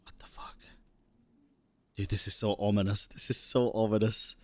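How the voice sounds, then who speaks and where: A man's voice: fairly low pitch, level tone, moderate pace, darkish tone, whispered. A man giving casual live gameplay commentary as a streamer, reacting in slangy, sweary language. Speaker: game streamer.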